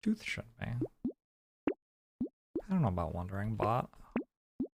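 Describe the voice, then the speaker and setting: A man's voice: fairly low pitch, wildly swooping pitch, unhurried, vocal fry, no echo. A man from New Zealand giving casual live gameplay commentary as a streamer, musing and chatting with viewers in a dry, rambling way. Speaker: video game streamer.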